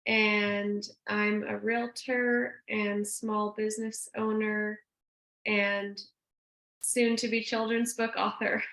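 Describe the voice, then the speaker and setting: A woman from Canada introducing herself on a video call in a measured, tentative way. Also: mid-range voice, level tone, fairly bright tone, reverberant. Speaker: realtor and business owner. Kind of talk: casual self-introduction.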